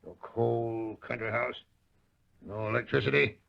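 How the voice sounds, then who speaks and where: A gravelly, anxious old-radio town boss. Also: male voice, mid-range voice, steady tone, unhurried. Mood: alarmed, defiant. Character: gruff, fearful, guilty wealthy town boss. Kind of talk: 1930s radio drama acting. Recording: muffled old 1930s radio recording.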